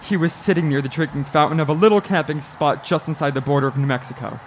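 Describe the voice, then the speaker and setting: A man's voice: fairly high pitch, fast talker, a little hiss or hum, lightly acted. A man playing a haunted, frightened young traveller in a suspense radio play, dramatic and prone to stammering. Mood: haunted, brooding. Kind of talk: old-time suspense radio play acting.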